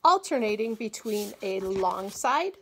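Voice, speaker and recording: A woman educator giving a clear, patient, step-by-step craft demonstration at a table indoors. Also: mid-range voice, big pitch swings, measured pace, a bit bright, slight background noise. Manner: clear, patient instructional delivery. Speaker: agriculture educator. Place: small indoor room, table-top demo.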